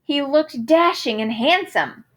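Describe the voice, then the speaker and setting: Slow, high-voiced woman reading a storybook expressively. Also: lively intonation. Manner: theatrical, savoring alliteration and rhyme, dramatic emphasis. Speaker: storybook reader. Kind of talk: expressive children's picture book read-aloud.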